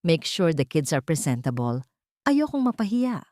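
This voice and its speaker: A woman's voice: low voice, big pitch swings, normal speed, a little mellow, dry recording, studio quality. Woman acting a cold, sugary, scheming rich girlfriend. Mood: veiled threat. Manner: sugary but cutting, switching into English.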